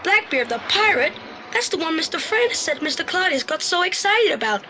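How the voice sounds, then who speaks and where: An actor playing a keen, excitable boy detective's sidekick in an audio play, talking in an eager colloquial way. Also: very high voice, fairly quick, background noise. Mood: eager, curious. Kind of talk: 1980s audio play acting.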